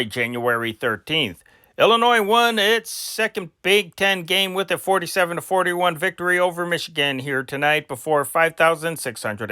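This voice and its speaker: A man reading old newspaper sports dispatches aloud as an amateur news host, in a deliberate announcer-like delivery with occasional stumbles. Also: on the high side, sharp tone, no room sound, studio quality.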